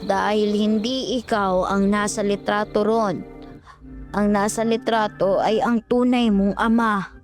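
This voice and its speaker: A woman playing a grave, deliberate old ghostly grandmother who reveals dark truths in a horror audio drama. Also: medium pitch, fairly even intonation, faint music behind, close to an everyday voice. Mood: solemn revelation.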